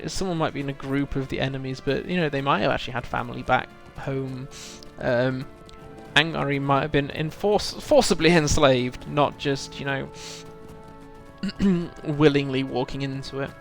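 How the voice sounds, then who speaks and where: Man with creaky voice, casual game streamer. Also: mid-range voice, moderate pace, a bit bright, faint music behind. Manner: rambling, thinking aloud, addressing chat. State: feels full of cold, often clearing throat. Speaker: game livestream host. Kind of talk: relaxed casual chat while gaming.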